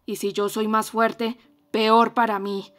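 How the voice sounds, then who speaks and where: A woman reading an audiobook voices a fierce, anguished young man, speaking loud, harsh and accusing. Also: fairly high pitch, flat delivery, slow. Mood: bitter accusing.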